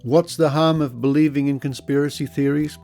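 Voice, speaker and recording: A man's voice: on the high side, steady tone, normal speed, warm tone, soft background music. A man hosting a podcast, commenting on news and reading quotes with conversational asides. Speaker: podcast host.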